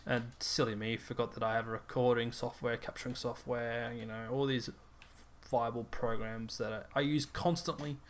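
A man's voice: medium pitch, little pitch movement, moderate pace. Australian young man, casual rambling chat. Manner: rambling, laughs often, tired. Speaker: podcast co-host.

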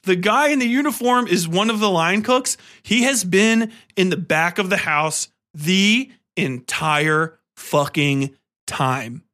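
A man podcast host giving a casual, rambling film review, enthusiastic and profane, often halting and repeating himself. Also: high voice. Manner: animated, enthusiastic; profane, slangy.

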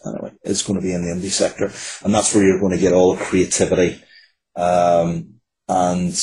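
A man's voice: low-pitched, a little mellow, poor audio. A man speaking as an interview guest and writer, casually and earnestly, in a reflective way. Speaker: guest, writer of fiction, comics and games.

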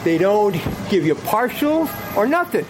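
A man's voice: high-pitched, very animated, measured pace, noisy background. A man on the street who talks with frequent chuckles and keeps checking in with "okay". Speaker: interviewee. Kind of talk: street interview. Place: city street outdoors. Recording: street music behind.

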